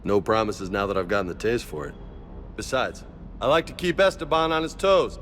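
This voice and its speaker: A man's voice: on the low side, animated, brisk, fairly bright tone, soft background music. A man playing a cocky, easygoing young marine in a science fiction video game, casual and slangy. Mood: playful.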